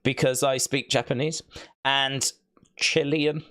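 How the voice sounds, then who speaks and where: A male livestream host talks in a loose, rambling way, as in a casual watch-along. He has a mid-pitched voice and drops in joking, deadpan asides as he chats with his viewers. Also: takes it slowly.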